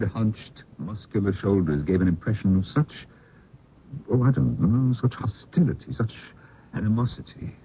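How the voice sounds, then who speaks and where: An actor playing a haunted older man, telling ghost tales in a halting, dramatic old-time radio style with muttered pauses. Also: low-pitched, gravelly, exaggerated voice. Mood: haunted, insistent. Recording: muffled phone-like radio recording, faint noise.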